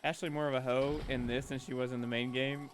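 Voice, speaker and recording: A man's voice: medium pitch, level tone, a little fast, slight background noise. A man giving casual, jokey, slangy commentary as he hosts a game playthrough. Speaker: gaming video host.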